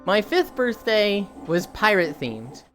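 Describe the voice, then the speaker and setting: A woman's voice: medium pitch, very animated, slow, fairly bright tone, soft background music. A woman streaming casually, rambling through stories, swearing and laughing easily. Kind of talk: casual chat while streaming.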